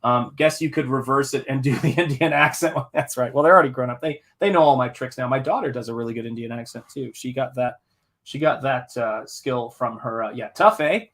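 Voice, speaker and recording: A man's voice: medium pitch, brisk, fairly bright tone. A middle-aged man from the United States chats casually while painting on a livestream, rambling in a jokey, self-deprecating way. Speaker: artist hosting a live painting stream.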